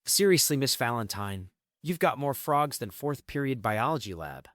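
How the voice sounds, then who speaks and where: Animated, teasing teen boy, played by a man. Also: mid-range voice, moderate pace, no echo, clean studio sound, close to an everyday voice. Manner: teasing mock formality. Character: teasing, playful thirteen-ish boy, girl's best friend.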